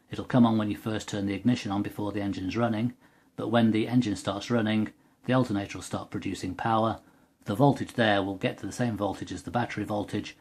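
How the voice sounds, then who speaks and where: A man with a fairly low voice talks through a technical tutorial. He is unscripted and methodical, taking the listener through the product one step at a time as an explainer would. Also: a little mellow, slightly rough. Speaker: product demonstrator.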